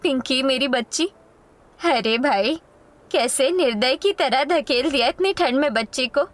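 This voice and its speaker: A woman's voice: high-pitched, animated, unhurried. A woman playing an anxious, caring member of a poor homeless family, with plaintive, melodramatic moral-story delivery. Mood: worried, reproachful.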